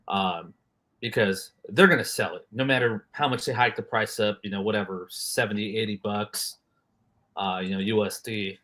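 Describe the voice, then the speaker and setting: A man co-hosts a casual chat, relaxed and a little rambling. His voice sits fairly low. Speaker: co-host.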